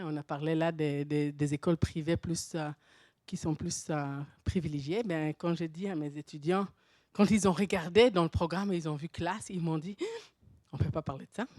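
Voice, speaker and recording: A woman's voice: low voice, no room sound, clean studio sound. Woman speaking French with a foreign accent. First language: not French. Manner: animated, thinking aloud with restarts and light laughs. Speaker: university professor presenting at a teachers' conference. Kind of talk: conference presentation.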